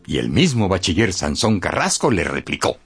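A man's voice: low-pitched, very animated, normal speed, gravelly, slightly rough, faint music behind. A man narrating a radio novel in a scripted, dramatic storytelling style.

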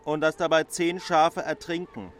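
A man's voice: fairly high pitch, level tone, normal speed, a bit bright, lightly acted. A man playing a credulous villager in a German radio play, speaking colloquially in short, solemn phrases. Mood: awed. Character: credulous, matter-of-fact male villager. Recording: outdoor ambience with geese, faint noise.

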